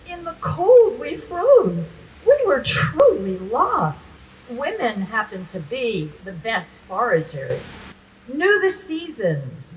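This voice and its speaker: Slow, swooping woman reciting poetry. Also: sits high, far from the mic, slight background noise, low-quality recording. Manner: theatrical, deliberate phrasing with pauses. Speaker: poet performing at an event. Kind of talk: dramatic spoken-word poetry recital.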